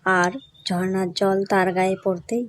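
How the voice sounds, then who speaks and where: A woman's voice: medium pitch, little pitch movement, unhurried. A woman narrator reading a scripted fairy tale for children.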